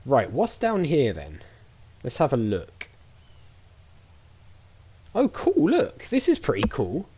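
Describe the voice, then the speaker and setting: A man's voice: mid-range voice, wildly swooping pitch, takes it slowly, pauses often, dark tone, husky. A man giving casual let's play commentary, thinking aloud in a chatty way as he plays.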